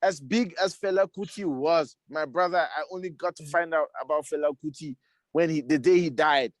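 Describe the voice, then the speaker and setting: A man's voice: fairly high pitch, normal speed, dry recording. A man, a musician guest, talking casually and repeating himself, often saying "you see". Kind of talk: casual conversation.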